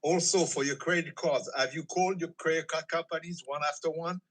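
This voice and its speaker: A man's voice: a little high, steady tone, a little fast, crowd noise. A man speaking as a mortgage banker on a group call, methodically asking questions and advising, repeating phrases as he cuts in. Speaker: mortgage banker giving advice.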